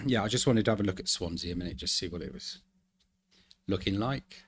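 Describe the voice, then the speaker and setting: A man in his fifties talks through a driving game in a relaxed, thinking-aloud way, now and then muttering at it. His voice sits fairly low. A heavy wash of truck engine and road noise runs under everything he says. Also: fairly quick. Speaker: gaming channel host. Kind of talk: laid-back gameplay commentary. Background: driving game truck sounds.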